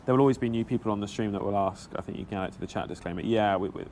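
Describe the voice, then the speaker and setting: A man's voice: on the low side, very fast, darkish tone, slight background noise. Chatty British man live-streaming. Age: 30s. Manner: chatty, self-deprecating, answers viewers as he goes. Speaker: motorcycle vlogger streaming live. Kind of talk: casual live-stream chat. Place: outdoors in central London, in a café stop. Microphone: small action-camera mic on a helmet.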